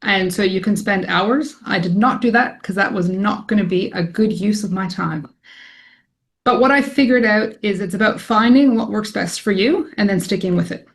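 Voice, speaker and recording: A woman's voice: medium pitch, normal speed. A woman in her forties with a Canadian accent, an academic statistician giving a conversational presentation full of ums. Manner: conversational, frequent ums, self-deprecating. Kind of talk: informal presentation to colleagues.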